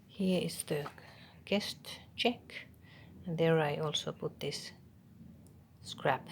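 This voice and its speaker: A Finnish woman with a Finnish accent, whose first language is Finnish, quietly showing a handmade journal page by page, half-whispering with pauses and ums. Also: low voice, gravelly, a little hiss or hum. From Finland. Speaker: craft channel host. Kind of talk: soft show-and-tell of a handmade journal.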